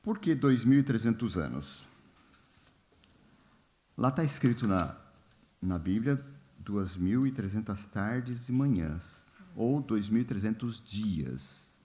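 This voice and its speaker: A man leading a church Bible study in a relaxed, informal way, often pausing with fillers and asking the congregation questions. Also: on the low side. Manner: unhurried, many fillers and "né?", asks the congregation questions. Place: church hall.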